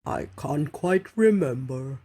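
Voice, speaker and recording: A woman voicing a big father monster from a storybook, speaking slowly and ominously as a warning. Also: low voice, expressive, mellow, heavily acted. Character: big slow father monster, gravely warning his child.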